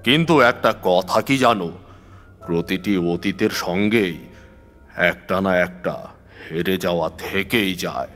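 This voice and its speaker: Weary older male mystic voiced by an actor, unhurried, philosophical horror-story monologue over music. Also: deep voice. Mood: reflective.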